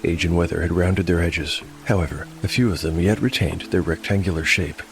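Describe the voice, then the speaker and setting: A man with a low voice reads as narrator and host of a fantasy audio show. His delivery is measured and articulate, with music clearly underneath. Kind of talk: scripted dark fantasy narration.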